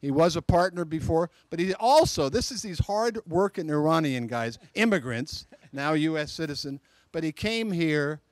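Middle-aged male emcee, slightly high voice, dry close sound, chatty intros. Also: animated, moderate pace, no echo. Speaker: emcee of a club meeting. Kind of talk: introducing speakers. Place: outdoor restaurant patio at night. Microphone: handheld mic.

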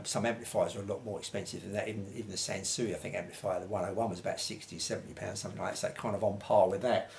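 An older man, around his sixties, with a lowish voice. He talks quickly and casually, rambling along as he reviews to camera. There is clear background noise in the room. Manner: chatty and rambling, frequent "you know" and "like" tags. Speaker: vintage audio reviewer. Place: small domestic room indoors, seated at a table.

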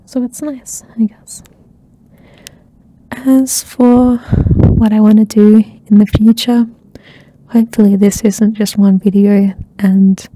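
A young woman hosting an ASMR channel, chatting softly and rambling with lots of fillers, often dropping into a whisper close to the mic. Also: mid-range voice, breathy edge, no room sound. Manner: soft-spoken, slips into whispers, many fillers. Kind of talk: soft-spoken rambling catch-up chat. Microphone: close ASMR microphone.

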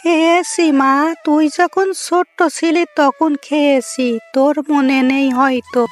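A woman's voice: high voice, fairly even intonation, takes it slowly, faint music behind. A woman voicing a poor fox wife and mother in a cartoon story, with plaintive, homely village talk. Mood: gentle, motherly.

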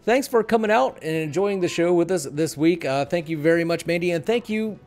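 A man's voice: fairly high pitch, animated, brisk, a little mellow, faint music behind. A middle-aged man hosting a casual podcast, chatting and bantering in a teasing, joking way. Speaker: podcast host. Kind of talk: casual chat, plugs and banter. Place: small room at home, indoors. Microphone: desk mic on boom arm, headset.

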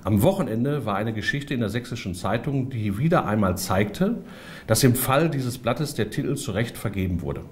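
Male party spokesman, medium pitch, very varied intonation, stiffly emphatic scripted delivery. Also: expressive, normal speed. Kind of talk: prepared political statement, read out.

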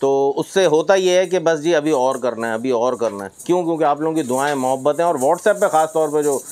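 A man's voice: on the high side, brisk, darkish tone, slight background noise. A middle-aged man giving a chatty, informal sales presentation as host, peppering his talk with pious phrases and English words. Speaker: host of livestock market videos. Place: roofed block-walled goat pen.

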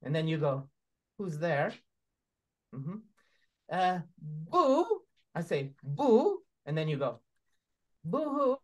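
Puppet show bit part: bashful, halting little joker with lively intonation played by a man. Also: fairly high pitch, exaggerated voice. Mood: shy, eager. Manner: hesitant. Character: Steve, bashful little puppet telling a joke.

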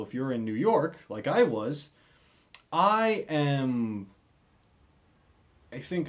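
A man in his twenties with an American accent speaks casually to camera at a middling pitch. His delivery is animated but hesitant, with a self-deprecating streak. He sounds like a vlogger recording a little way from the mic in a small bedroom. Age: 20s. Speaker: video creator. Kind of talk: casual vlog update to camera.

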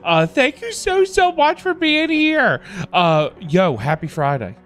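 A middle-aged man chatting casually on a livestream in a halting, repetitive, informal way. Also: extremely high pitch, wildly swooping pitch, fairly bright tone. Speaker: livestream host. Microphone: close desk microphone. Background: background music.

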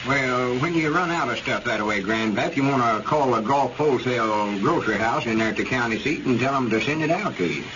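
An actor playing a worried, self-important old country store owner in folksy rural dialect, in 1930s radio comedy style. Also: male voice, mid-range voice, fairly quick, few pauses, close to an everyday voice. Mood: explaining. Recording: muffled, noisy old radio recording.

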